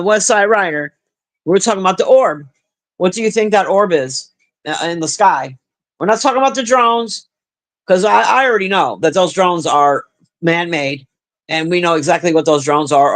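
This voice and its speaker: A middle-aged woman with a deep, husky smoker's voice, chatting profanely and bluntly with her livestream viewers. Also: moderate pace. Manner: profane, blunt, animated banter. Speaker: livestream host reading chat. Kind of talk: casual livestream chat.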